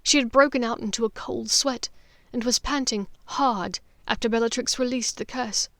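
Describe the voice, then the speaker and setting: High-voiced woman narrating fiction, very dry. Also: moderate pace, no echo. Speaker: audiobook narrator. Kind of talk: scripted dramatic fiction narration.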